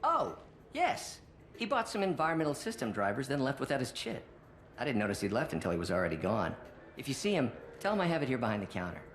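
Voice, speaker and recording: Fast, echoey shop clerk. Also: mid-range voice, huge pitch swings. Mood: chummy. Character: chummy, chatty shop clerk. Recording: echoey room.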